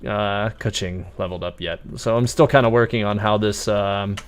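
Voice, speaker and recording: A man's voice: lowish voice, fairly even intonation, moderate pace, smooth. A man giving casual live stream commentary on a game, chatty and rambling.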